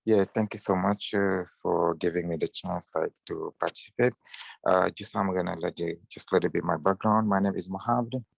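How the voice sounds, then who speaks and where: Deep rough-voiced man from senegal, hesitant, lo-fi call. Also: moderate pace, no room sound. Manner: hesitant, non-native phrasing. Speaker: panel participant, entrepreneur. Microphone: video call.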